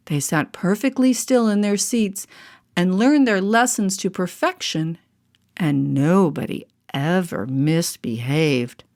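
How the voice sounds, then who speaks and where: A woman storyteller narrating a storybook with expressive, deliberate phrasing. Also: on the low side. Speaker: storyteller and voice artist. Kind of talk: scripted storybook narration for all ages. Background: music.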